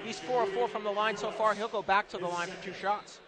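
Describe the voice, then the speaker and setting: High-voiced male basketball color commentator. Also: steady tone, fast talker, a loud crowd. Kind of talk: live sports analysis. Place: indoor basketball arena.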